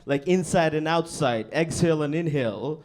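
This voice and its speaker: Young male stand-up from Bangladesh, a little higher-pitched than most men, deadpan and laid-back, working a club mic. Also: normal speed. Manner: laid-back, deadpan, frequent "you know what I mean". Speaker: stand-up comedian. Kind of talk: stand-up set. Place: comedy club stage.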